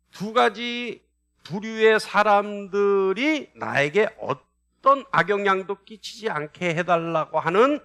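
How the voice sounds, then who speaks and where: This is a man preaching, with a high-pitched, bright and forceful voice. He speaks as a pastor to his congregation. His delivery is emphatic, built on rhetorical questions and repeated phrases. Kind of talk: church sermon with call-and-response prompts.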